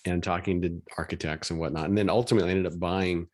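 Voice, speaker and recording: A man's voice: sits low, fairly even intonation, quick pace, darkish tone. A middle-aged man from Washington State telling a story in a matter-of-fact, chatty way on a video call. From Washington State, USA.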